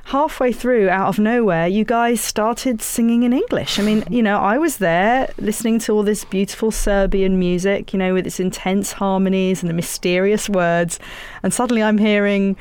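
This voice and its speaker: A radio host asking long, carefully worded questions in a measured way. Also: medium pitch, fairly even intonation, a little mellow, smooth. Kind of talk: interview hosting.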